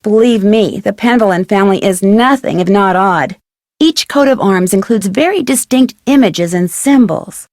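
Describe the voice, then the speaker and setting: A woman's voice: mid-range voice, moderate pace, darkish tone, no echo, studio quality, close to an everyday voice. A woman playing an earnest young American sleuth. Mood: earnest, intrigued. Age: young adult. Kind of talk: video game dialogue acting, conversational phone chat.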